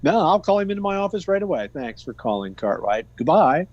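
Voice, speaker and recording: A man's voice: a little high, big pitch swings, a little fast, heavy background noise. A man playing a blustery, jovial older boss in 1940s-style radio comedy, hearty and exclamatory, on a slightly muffled recording. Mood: brisk, pleased.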